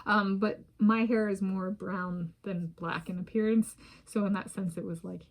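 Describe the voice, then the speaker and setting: Woman, American, casual vlog, self-filmed, rambling, restarts, soft laughs, mid pitch. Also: steady tone, unhurried, low-quality recording. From United States. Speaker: vlogger. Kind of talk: casual solo talk to camera. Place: home in a residential area. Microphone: self-filmed camera.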